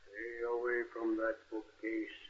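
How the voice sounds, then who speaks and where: An actor playing a menacing old man in a radio horror drama, giving drawn-out warnings in a husky voice. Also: fairly low pitch, completely flat delivery. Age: older. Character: menacing old man haunting a dark house. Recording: tinny, band-limited old radio recording.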